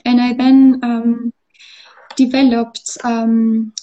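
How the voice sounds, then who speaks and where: A woman from Austria speaks as the guest in a relaxed interview. She pauses often and restarts her sentences. Her voice is mellow and fairly high, but her pitch stays quite even. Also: lo-fi sound. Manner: hesitant, many fillers and restarts. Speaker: artist interviewee. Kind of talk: conversational interview.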